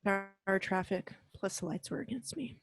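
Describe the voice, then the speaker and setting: A woman's voice: mid-range voice, unhurried, no echo, close to an everyday voice. A woman voicing Batgirl, a prim, rule-abiding young crime-fighter, in an amateur radio play.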